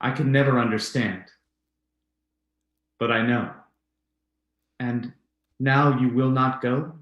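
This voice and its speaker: An earnest widower , acted by a man, speaks with halting intensity, as if haunted. The delivery is formal and theatrical, in old-time radio drama style, with a clearly echoing room around the voice. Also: mid-range voice, fairly even intonation, highs cut off, natural voice. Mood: urgent. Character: earnest, haunted bereaved husband. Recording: roomy, echoing sound.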